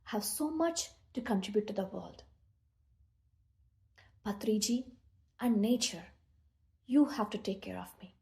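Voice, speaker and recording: Indian woman doing a personal story talk, relaxed dark-ish tone, mid pitch, kinda halting and earnest. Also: vocal fry. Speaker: meditation speaker.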